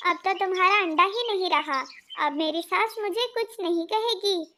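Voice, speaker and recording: Woman as a very high-pitched jealous butterfly sister. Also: moderate pace, sharp tone, slight background noise. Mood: bitter, spiteful. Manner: plaintive, dramatic. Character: jealous, bitter young female butterfly sister, dramatic. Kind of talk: Hindi children's cartoon dialogue.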